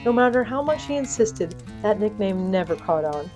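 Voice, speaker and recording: Casting: female storyteller for comic weird fiction, wry and dry, medium pitch, read over a light music bed. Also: expressive, moderate pace, a little mellow. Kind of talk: scripted comedic fiction narration.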